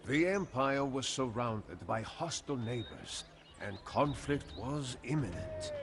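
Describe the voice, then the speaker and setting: Solemn, slow-spoken Khmer general. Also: male voice, mid-range voice, lively intonation, a little hiss or hum. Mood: ominous. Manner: grave, measured, formal storytelling. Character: honored old-world Khmer general, solemn, weathered commander. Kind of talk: dramatic scripted game narration.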